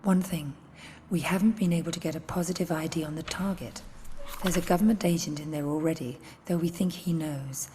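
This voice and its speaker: An actress playing a businesslike agency handler in a video game, measured and matter-of-fact. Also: female voice, sits low, steady tone, mellow, lightly acted. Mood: composed, businesslike. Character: businesslike female agency handler briefing an assassin. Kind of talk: video game cutscene acting. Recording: long reverb, faint noise.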